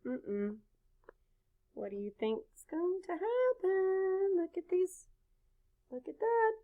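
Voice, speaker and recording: A woman reading a children's story aloud expressively, pausing to chat with her listeners. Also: very high-pitched, narrow, tinny audio. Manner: expressive, pauses to point things out and ask questions. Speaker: storybook reader.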